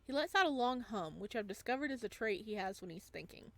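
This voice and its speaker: A woman's voice: medium pitch, expressive, fast talker. Woman reading a story aloud expressively. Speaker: let's-play host reading a visual novel aloud. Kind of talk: expressive read-aloud narration with casual asides.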